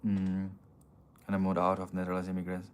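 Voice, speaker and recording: Man, lowish voice, blunt and profane, live-stream chat, faint ambient noise. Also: completely flat delivery, brisk. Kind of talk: casual live-stream chat, answering viewer comments. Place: city streets outdoors.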